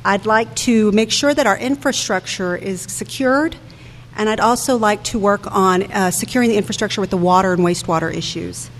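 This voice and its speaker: A woman's voice: medium pitch, normal speed. A woman in her forties giving earnest campaign remarks as a council candidate, speaking directly to listeners. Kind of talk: prepared campaign remarks at a public forum. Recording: echoey hall with background noise.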